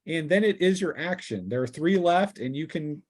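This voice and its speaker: A man narrating a tabletop fantasy game as game master, conversational and descriptive, often pausing mid-sentence as he improvises. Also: fairly high pitch, expressive.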